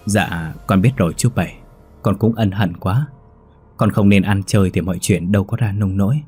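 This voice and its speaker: A young wayward son cries out and begs through his sobs, voice straining high and loud, as a male storyteller acts him out. The voice underneath is husky and low. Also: moderate pace, soft background music. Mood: remorseful. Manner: pleading, sobbing cries. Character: young man, wayward son, desperate and grieving.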